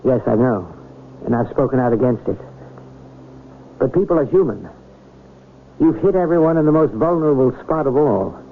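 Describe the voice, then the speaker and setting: An actor playing an earnest, persuasive small-town reverend, measured and oratorical, in an old radio drama. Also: male voice, mid-range voice, expressive, close to an everyday voice. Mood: earnest, philosophical. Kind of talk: 1980 radio drama acting. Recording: noisy, tinny old radio broadcast recording.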